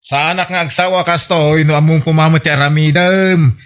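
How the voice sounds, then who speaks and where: A man's voice: fairly high pitch, steady tone, fairly quick, a bit bright, clear, resonant tone, close to an everyday voice. A man playing an exasperated village husband who scolds his wife in a comedy radio drama, on muffled audio.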